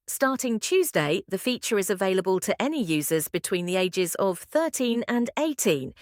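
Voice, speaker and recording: A woman reading practice passages aloud as a test-prep narrator, in a measured, clearly enunciated scripted style. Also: mid-range voice, sing-song, dry recording, studio quality.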